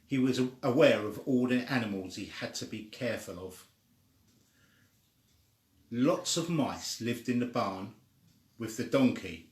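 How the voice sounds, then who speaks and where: An older man reading a children's story aloud informally, with a halting, deliberate delivery. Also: medium pitch, expressive. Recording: echoey room.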